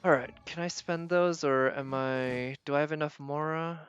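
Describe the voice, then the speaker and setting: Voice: mid-range voice, steady tone, moderate pace, smooth, highs cut off. A streamer chatting casually with viewers during a game, thinking aloud in a musing, hesitant way. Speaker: live streamer. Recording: game music behind the voice. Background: video game music.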